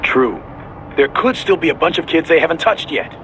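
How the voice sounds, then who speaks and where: An actor playing a brisk, level-headed mission handler in a video game, inquisitive and commanding. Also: male voice, fairly high pitch, expressive, background noise, lightly acted. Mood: questioning. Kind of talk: video game cutscene acting.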